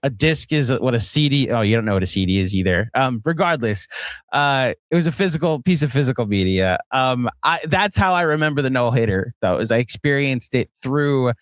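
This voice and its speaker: A man's voice: medium pitch, expressive, brisk, dry recording. An American man co-hosting a sports podcast, telling stories in a rambling, conversational way. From Virginia, United States. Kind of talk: casual sports chat.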